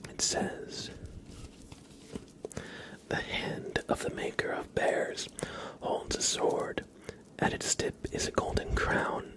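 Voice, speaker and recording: A man hosting an ASMR livestream, speaking in a soft, breathy whisper with a gentle, informal way of chatting to viewers. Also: low-quality recording. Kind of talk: whispered ASMR with casual chat to live viewers.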